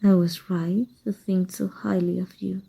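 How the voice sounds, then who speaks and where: Woman acting a dark, husky, seductive sorceress teacher. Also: fairly low pitch, little pitch movement, lo-fi sound, exaggerated voice. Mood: flattered, coy. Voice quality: husky, breathy. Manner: slow, hushed, deliberate, seductive. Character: evil female magic teacher, tender, teasing, secretly lovestruck mage. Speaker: voice actor. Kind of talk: intimate ASMR roleplay acting.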